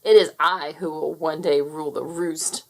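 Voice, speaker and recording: Storybook brat rooster prince, sneering and quick to blow up, loud and gravelly, as a woman reader puts him on. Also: deep voice, fairly even intonation, voice pushed down. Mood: scornful. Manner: sneering, blustering. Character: scheming hot-tempered young rooster prince, male.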